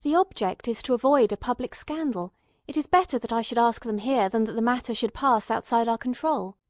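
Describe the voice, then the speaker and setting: Courteous but insistent gentleman investigator, read by a woman: highish voice, formal questioning, dry storybook sound. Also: fairly quick, no echo, close to an everyday voice. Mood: probing. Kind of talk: dramatic audiobook character reading.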